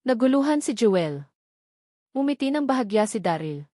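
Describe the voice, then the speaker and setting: A woman's voice: fairly high pitch, sing-song, moderate pace, dark tone, no room sound, studio quality. A woman reading a novel aloud as a scripted audiobook narration.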